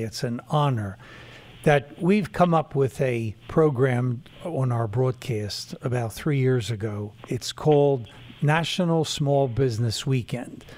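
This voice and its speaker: A man's voice: medium pitch, darkish tone. A man hosting a talk broadcast, earnestly promoting an initiative with many fillers. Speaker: radio talk show host.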